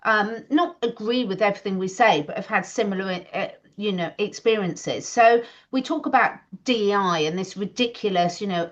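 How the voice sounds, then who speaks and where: Woman, earnest, slightly muffled. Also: on the low side. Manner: earnest, emphatic, talks in long runs. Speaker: co-host. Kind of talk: casual video chat. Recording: slightly dull lo-fi audio.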